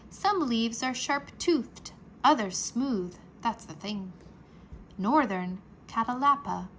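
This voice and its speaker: Bright, animated woman reading to children. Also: a little high, slight background noise, rough recording. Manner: expressive, deliberate enunciation for young listeners. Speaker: teacher reading a children's book aloud. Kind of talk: children's read-aloud narration, rhyming nonfiction.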